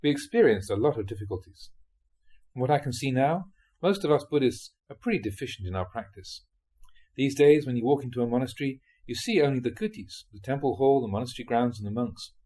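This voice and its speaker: A male monk reads translated Buddhist teachings aloud. His voice is mid-pitched, soft-edged and mellow, with some huskiness. The pitch moves freely, giving the reading an expressive, storytelling shape. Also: a little fast. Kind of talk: reading translated Dhamma talks aloud, like an audiobook.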